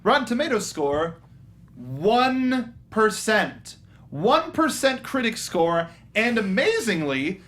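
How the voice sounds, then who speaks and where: A man co-hosting a casual film review panel, talking in a halting, stop-start way. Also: sits high, a bit bright. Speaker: co-host of a film review show.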